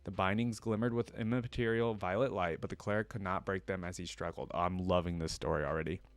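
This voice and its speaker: A man reading a story aloud as a reaction host, expressive in the telling, with casual asides. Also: sits low, a little fast, no echo.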